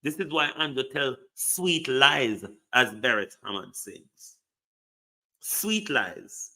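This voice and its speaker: A man's voice: mid-range voice, lively intonation, takes it slowly, creaky, sharp tone, low-quality recording. A man giving an emphatic political commentary monologue, full of repeated rhetorical questions. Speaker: online commentator.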